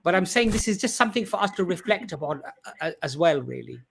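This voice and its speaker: A man's voice: high-pitched, sing-song, fast, vocal fry, a bit bright, slightly rough. Earnest male host explaining at length. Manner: earnest and explanatory, with frequent "uh" and "you know". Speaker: host and Islamic speaker. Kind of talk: religious answer in a discussion.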